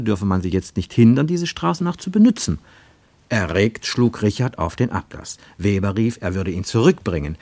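Male narrator for a radio travelogue play. Fairly low voice, dry close studio sound, measured and expressive. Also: no echo. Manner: expressive, measured storytelling delivery.

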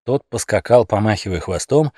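A man narrating a humorous animal tale as an audiobook, in an expressive, theatrical storytelling manner. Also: on the low side, moderate pace, slightly rough, no room sound, clean studio sound.

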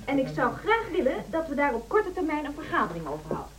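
A woman's voice: high voice, huge pitch swings, quick pace, distant mic, background noise. An actress playing an outspoken, argumentative woman teacher pushing for reform in a radio drama, assertive and articulate. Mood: determined. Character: outspoken, insistent reformist female music teacher. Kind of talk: Dutch radio drama acting.

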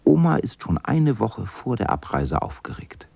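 A man narrating a radio drama as a storyteller, with a gravelly, husky voice. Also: lowish voice, big pitch swings, a little slow, a little mellow.

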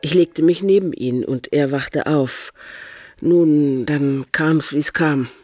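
A woman's voice: low-pitched, slow pace, warm tone, no room sound, faint music behind. An actress playing a composed, worldly woman, narrating in a German radio crime drama in a dry, matter-of-fact way. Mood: wry, composed. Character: composed, worldly woman recounting her affair, dryly amused.

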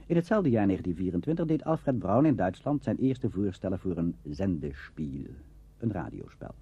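A man's voice: low-pitched, sing-song, moderate pace, slightly rough. A man giving scripted documentary narration in a formal, lecturing manner. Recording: dull, muffled old recording.

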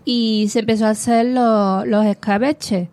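A woman's voice: a little high, fairly even intonation, measured pace, a little mellow. A woman on a casual talk show, telling food history enthusiastically and rambling a bit as she goes.

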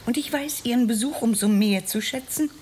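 A woman's voice: fairly high pitch, a little fast, darkish tone, a little hiss or hum, natural voice. An actress playing a gracious, weary mother in a radio drama, polite and formal. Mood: gracious, tired.

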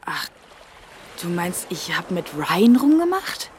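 A woman's voice: lowish voice, very animated, slow. A young woman playing a feisty, moody teenage girl in an audio drama, sarcastic but vulnerable, with snippy colloquial talk. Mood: snippy, sulky. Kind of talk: acted audio drama dialogue. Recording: loud vehicle noise behind. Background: car ambience, traffic noise.

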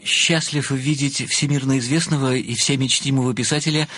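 A man's voice: medium pitch, fairly even intonation, normal speed, music in the background, natural voice. A man acting in an audio play as a small, frail, obsequious old admirer, formal and politely insistent. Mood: deferential, eager.

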